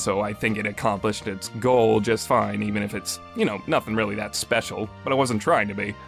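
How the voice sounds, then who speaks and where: A man's voice: mid-range voice, a bit bright. Casual man reviewing over background music. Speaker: podcast host and reviewer.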